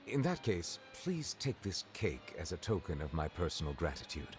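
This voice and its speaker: An actor playing a solemn, dignified male judge in a video game, speaking in a formal, measured, courteous way. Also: low voice, big pitch swings. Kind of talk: scripted video game dialogue. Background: game music.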